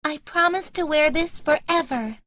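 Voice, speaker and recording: Sweet little girl, curious, helpful, extremely high bright voice, children's cartoon story. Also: normal speed, dry recording. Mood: sympathetic, helpful. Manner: sympathetic, inquisitive, expressive. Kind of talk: animated children's story acting.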